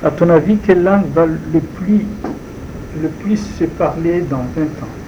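Male interviewer, slightly high voice, informal and direct questions. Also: a little slow. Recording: dull lo-fi sound with background noise.

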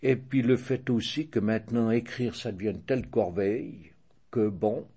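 Older Swiss French man, interviewee, measured and hesitant, lowish husky tone. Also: mellow. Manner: measured, hesitant, restarts and self-corrects. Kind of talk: casual interview answers.

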